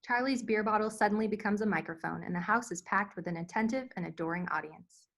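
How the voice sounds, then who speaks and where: Woman reading stage directions off a script, medium pitch, narration style. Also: fast, vocal fry, fairly bright tone.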